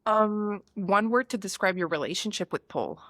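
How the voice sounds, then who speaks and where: A young woman with a French Canadian accent, hosting a podcast and asking quick, upbeat questions. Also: medium pitch, animated, a bit bright, no room sound, studio quality. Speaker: podcast host. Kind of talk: quick-fire interview questions.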